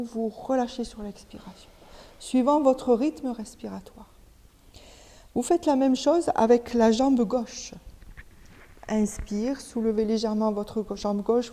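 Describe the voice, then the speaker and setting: A woman yoga teacher guides a relaxation session one instruction at a time. Her voice sits a little high yet has a very dark, mellow tone. She leaves pauses between steps, and a long reverb surrounds her words. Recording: long reverberant hall echo.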